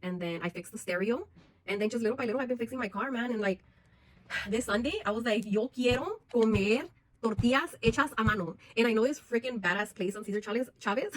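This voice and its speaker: A woman's voice: fairly high pitch, fast talker, no echo, rough recording. A woman, talking frankly and bluntly to her viewers in a personal vlog, swearing freely. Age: 40s. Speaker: vlogger.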